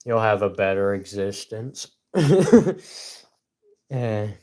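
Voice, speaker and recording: A young man with a gruff voice, rambling cynically on his livestream and laughing between points. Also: lowish voice, big pitch swings, very slow, darkish tone. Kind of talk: casual solo livestream rant.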